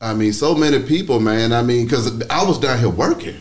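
A man's voice: mid-range voice, a little fast. Southern US man, casual slangy storyteller. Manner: casual, slangy, frequent swearing. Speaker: radio DJ being interviewed. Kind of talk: animated podcast storytelling. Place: small podcast studio. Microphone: close podcast mic.

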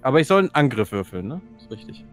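A man talks casually as a tabletop role-player. His voice sits at an average pitch, and faint music plays behind him. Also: sing-song, very fast. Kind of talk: casual game chat.

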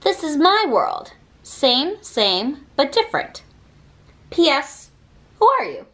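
Expressive high-voiced woman reading a picture book. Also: slow pace. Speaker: read-aloud reader. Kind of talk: children's picture book read-aloud.

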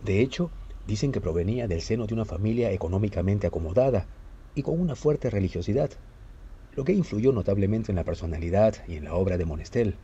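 A man's voice: lowish voice, lively intonation, fast talker, mellow, husky. A man with a Costa Rican accent playing a curious young university student in an educational radio play. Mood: interested. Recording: dull sound with faint noise.

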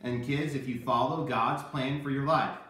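A man's voice: medium pitch, fairly even intonation, normal speed, fairly bright tone. A man in his thirties giving children an emphatic, repetitive Bible lesson in a kitchen. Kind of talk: kids' sermon with a puppet sidekick. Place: home kitchen, indoors. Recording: very echoey room, distant microphone.

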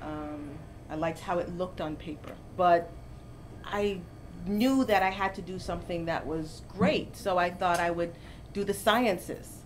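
A middle-aged woman born in Jamaica, a professor answering interview questions in a lively, storytelling way. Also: on the low side, unhurried, a little hiss or hum, low-quality recording. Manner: animated storytelling, gets louder and laughs when amused. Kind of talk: conversational interview. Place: bookstore, seated in armchair indoors.

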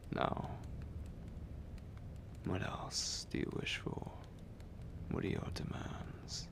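A man playing a possessive, hungry vampire lord in an intimate ASMR roleplay, breathy and commanding, speaking slowly with menace. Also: sits low, exaggerated voice. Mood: impatient, restrained hunger. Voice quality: breathy, whispery, gravelly. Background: music.

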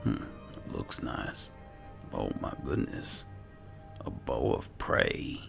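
A man narrating his roleplay gameplay, musing aloud in a hesitant mutter that often trails off. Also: very slow. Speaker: gaming host roleplaying his character. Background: music.

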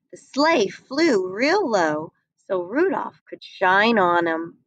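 A young adult woman reading a rhyming picture book aloud at a desk webcam, slow and expressive. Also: medium pitch, no room sound, rough recording. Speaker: storybook reader. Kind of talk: read-aloud storytelling. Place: small indoor room.

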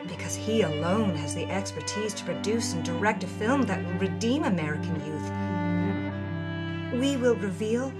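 A woman hosting a story podcast, reading journal entries in a wry, expressive way. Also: medium pitch, unhurried, loud music behind the voice. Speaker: host and narrator.

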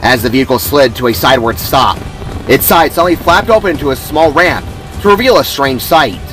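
A man's voice: mid-range voice, expressive, fairly quick, fairly bright tone, faint music behind, low-quality recording. A man reading dramatic scripted story narration as its author.

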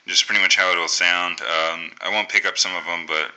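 An American man giving a casual, unscripted radio tutorial in a halting, think-aloud way. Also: low voice, fairly even intonation, sharp tone, poor audio. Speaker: amateur radio hobbyist presenting a tutorial. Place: indoors at a table.

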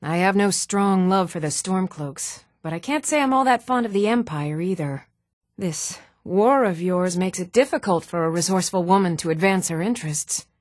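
An actress voicing an even-toned young Nordic townswoman and warrior in a fantasy video game, measured and clearly spoken. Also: lowish voice, no room sound, studio quality, close to an everyday voice. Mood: cool, measured. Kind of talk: scripted video game dialogue.

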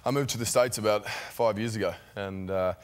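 A young Australian man speaking low and easy as a talk show guest. He rambles through stories and laughs readily. The studio sound stays close and clean. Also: moderate pace. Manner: relaxed, laughing, rambling anecdotes. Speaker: actor guest. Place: television studio. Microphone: guest desk microphone.

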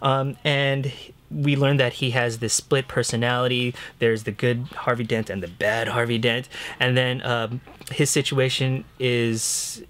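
A man's voice: mid-range voice, level tone, measured pace, fairly bright tone. Casual, enthusiastic male review show co-host. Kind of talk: casual top-five list discussion. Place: indoor room lined with shelves of books and discs.

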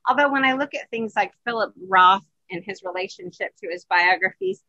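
A woman's voice: mid-range voice, brisk, pushed and bright, no echo, lo-fi sound. A woman in her sixties hosting conversationally over a video call. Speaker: host, interviewer. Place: home office, indoors. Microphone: video call computer microphone.

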